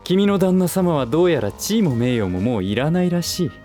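A smug nobleman of a businessman, speaks in a fairly high male voice with very animated swings. He is condescending and teasing, with languid lordly phrasing, in a voice drama with faint music behind. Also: fairly quick. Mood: mocking, wry. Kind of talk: Japanese voice drama acting.

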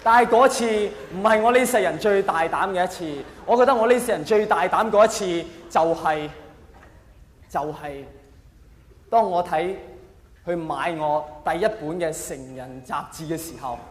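A man's voice: high-pitched, moderate pace. A man with a Hong Kong accent performing a stand-up comedy monologue, telling stories theatrically and putting questions to his listeners. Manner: theatrical storytelling with rhetorical questions. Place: live on stage in a large hall. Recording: echoey hall with long reverb.

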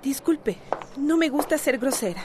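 A woman's voice: high-pitched, animated, a little slow, background noise. A woman with a Costa Rican accent playing a stern, indignant, protective mother in a radio soap, curt and formal. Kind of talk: radio soap opera acting.

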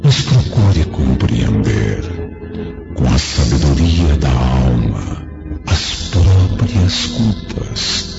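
A Brazilian man acting a compassionate, solemn spirit of an old friend in a religious radio drama, pleading gravely like a preacher. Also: very deep voice, very animated, very slow, exaggerated voice. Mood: grave, reproving. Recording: dull lo-fi audio, loud music behind. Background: dramatic music.

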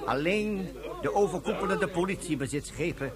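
An actor playing a dutiful male aide in a radio play, reporting to his ruler in a formal, deferential, measured manner. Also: high voice, lively intonation, a loud crowd. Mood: earnest.

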